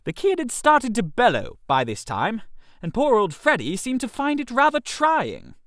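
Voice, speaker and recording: A man's voice: extremely high pitch, wildly swooping pitch, moderate pace, fairly bright tone, no echo, clean studio sound. A man narrating a comic short story in character as a chatty upper-class chap, in a theatrical manner. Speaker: narrator reading as a first-person character.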